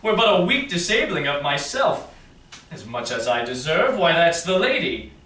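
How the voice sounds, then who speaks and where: Man playing a proud prince, bright and declamatory. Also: a little high, very animated. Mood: proud, confident. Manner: declamatory, deliberating aloud with weighty pauses. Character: proud, self-assured prince of Morocco deliberating as suitor. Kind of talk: Shakespearean verse, staged reading. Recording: roomy, distant mic, faint noise.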